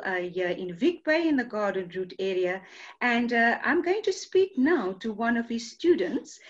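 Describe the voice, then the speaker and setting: A woman hosting a radio interview show, speaking courteously and formally with frequent fillers. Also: medium pitch. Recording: muffled lo-fi radio sound.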